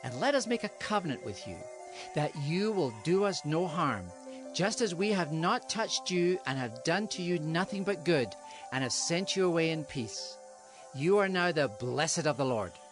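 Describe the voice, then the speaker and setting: An actor playing a diplomatic visiting ruler, formal and persuasive, in a dramatized scripture recording. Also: male voice, fairly high pitch, animated, normal speed, over music, close to an everyday voice. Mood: conciliatory. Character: diplomatic visiting ruler seeking a peace pact.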